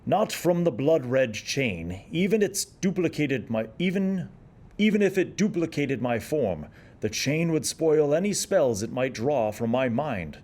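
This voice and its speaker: A man's voice: fairly high pitch, sing-song, measured pace, a little mellow, pitched above the performer's own voice. A man voicing a scholarly court wizard in a fantasy story, earnest and explanatory. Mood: assured. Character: scholarly male court wizard, fascinated and devoted. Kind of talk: dramatic story reading.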